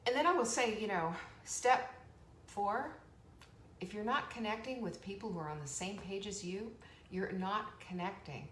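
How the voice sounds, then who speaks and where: A woman's voice: mid-range voice, moderate pace. Peppy woman hosting a live stream, lots of laughs, echoey room with a bit of hiss. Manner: energetic, chatty, laughs often, reads viewer comments. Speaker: host of a live online show. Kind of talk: motivational live-stream talk. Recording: echoey room with faint background noise.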